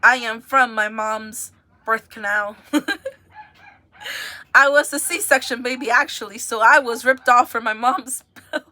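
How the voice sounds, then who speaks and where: A woman's voice: fairly high pitch, unhurried, pauses often, sharp tone. A young woman from the United States, chatting casually and giggling as she rambles to viewers on a livestream.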